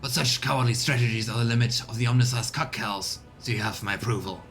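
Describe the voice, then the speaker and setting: A man's voice: mid-range voice, fast, a bit bright, natural voice. A man voicing a boastful, bloodthirsty space warrior in a grandiose, declamatory game-character style, with music behind him. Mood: scornful. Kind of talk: dramatic video game character reading.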